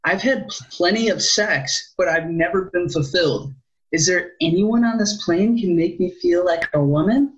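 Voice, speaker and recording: High-voiced young man, playful and giggly. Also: moderate pace, muffled recording. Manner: playful, giggly, tells jokes. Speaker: podcast co-host. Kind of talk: joking casual banter.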